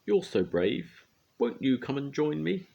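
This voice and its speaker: A man's voice: medium pitch, sing-song, a little slow, gravelly, dark tone, slightly rough, lo-fi sound, pitched above the performer's own voice, full character voice. An older man reading a storybook aloud, voicing a lonely, tearful little woman who walks a tightrope. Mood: sobbing, pleading. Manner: sobbing, pleading.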